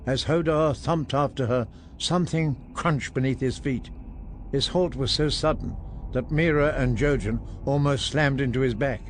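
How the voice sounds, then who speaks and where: A man reading a fantasy story in audiobook style, with measured storytelling delivery. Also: medium pitch, slight background noise. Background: soft music.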